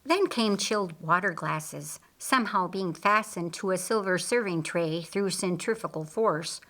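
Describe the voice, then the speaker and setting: A woman reading a scripted story as an audiobook narrator, in a measured and expressive way. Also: fairly low pitch.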